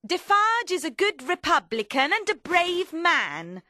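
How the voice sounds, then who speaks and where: A woman voicing a cold, vengeful revolutionary woman in a storybook reading, forceful, deliberate and ominous. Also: extremely high pitch, big pitch swings, no echo, clean studio sound, voice pushed up, full character voice. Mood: scornful.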